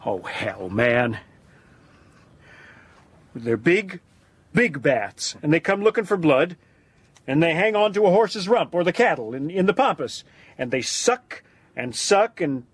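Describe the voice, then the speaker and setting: An actor playing a brash, hot-blooded American adventurer in an audio drama, with an American accent and a husky voice. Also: male voice, a little high, expressive, unhurried, pauses often, no room sound. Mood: blunt, incredulous.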